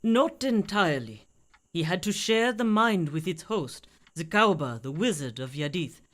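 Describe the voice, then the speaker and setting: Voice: mid-range voice, expressive, a little slow, a bit bright. An actor in a radio play playing a mysterious veiled swami who tells strange tales in a grave, formal, portentous manner. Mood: grave, cryptic.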